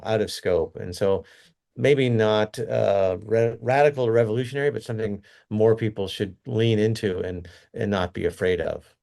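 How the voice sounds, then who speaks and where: A man's voice: on the low side, vocal fry, dark tone. A middle-aged man, a company executive, giving earnest, rambling interview answers full of restarts and fillers.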